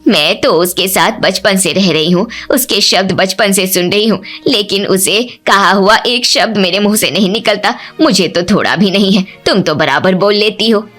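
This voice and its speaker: A woman's voice: mid-range voice, normal speed, hardly any pauses, fairly bright tone, soft background music, close to an everyday voice. Woman playing a probing, suspicious mother-in-law. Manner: probing, pointed. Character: suspicious middle-aged mother-in-law questioning her bride.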